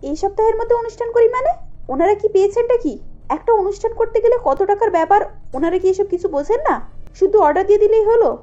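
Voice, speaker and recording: A woman voicing an indignant, bitter young pregnant daughter in a cartoon story, scolding with sharp rhetorical questions. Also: extremely high pitch, expressive, fast, lo-fi sound, pitched above the performer's own voice. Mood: angry.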